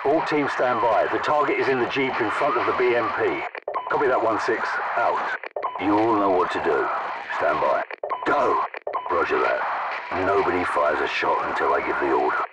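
A male actor playing a gruff, commanding British special forces captain in a military shooter game, giving terse, authoritative orders and radio calls. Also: fairly low pitch, moderate pace, very noisy, close to an everyday voice. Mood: terse, focused.

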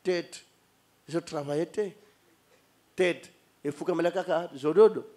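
A middle-aged Congolese man preaches with lively energy, his voice sitting high for a man. He speaks into a stand microphone in a church hall, switching between two languages and gesturing as he goes. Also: a little slow. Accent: Congolese French. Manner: animated, mixing Lingala and French, gestures while preaching. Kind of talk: sermon. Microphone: handheld mic on a stand.

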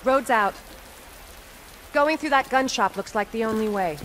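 An actress playing a cool, guarded female investigator in a video game, terse and deadpan, with an American accent. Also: on the high side, unhurried. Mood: dry. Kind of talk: video game cutscene acting. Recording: clear background noise and ambience.

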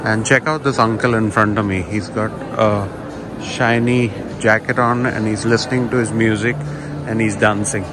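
A man giving relaxed, chatty commentary while walking through a large indoor shopping mall, filming on a handheld phone. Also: lowish voice, normal speed, over music. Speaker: vlogger. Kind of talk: casual walking vlog narration. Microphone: handheld phone camera.

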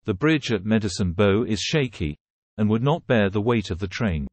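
A man doing a deep, firm train conductor. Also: animated, normal speed, darkish tone, no echo. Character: stern male train conductor, firm and matter-of-fact.

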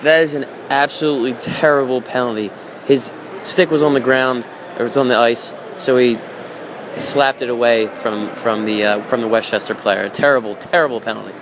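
A man's voice: medium pitch, steady tone, brisk, background noise. Blunt male hockey color analyst. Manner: blunt, critical remarks.

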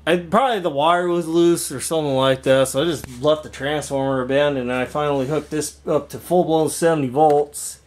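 A man giving a casual walkthrough vlog in an indoor workshop, rambling and halting as he thinks aloud. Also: fairly high pitch, fairly even intonation, darkish tone. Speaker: video creator showing a home intercom and speaker system.

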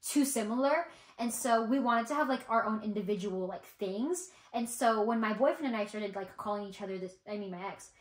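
A woman's voice: mid-range voice, a little fast. A young American woman chatting casually and telling stories in a rambling, giggly way, with frequent "like". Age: young adult. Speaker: vlogger co-hosting with a friend. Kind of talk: casual chat, storytelling. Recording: roomy indoor echo.

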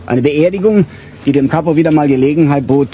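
An actor playing a weary middle-aged police chief in a radio crime drama, with a dry, musing, sardonic manner. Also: male voice, on the high side, fairly quick, dark tone, slight background noise, lightly acted, poor audio.

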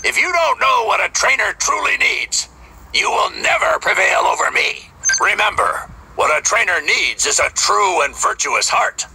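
Boastful male game trainer, gravelly and really swoopy delivery. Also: high voice, very animated, normal speed, bright, forceful tone, a little hiss or hum, full character voice, low-quality recording. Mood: stern. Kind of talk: video game character voice acting.

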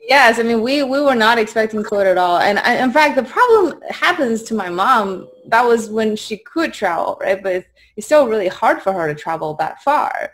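A woman's voice: on the high side, expressive, moderate pace. A young woman from China with a Chinese accent, giving conversational interview answers as a startup founder on a video call. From Qingdao, China. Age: young adult. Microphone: video call at desk distance.

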